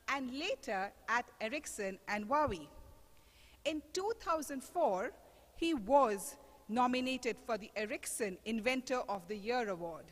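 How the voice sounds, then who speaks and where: A woman hosting an event, reading out a measured, prepared introduction of the guest speaker. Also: fairly high pitch, a bit bright. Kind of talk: formal introduction. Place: large lecture hall. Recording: reverberant hall.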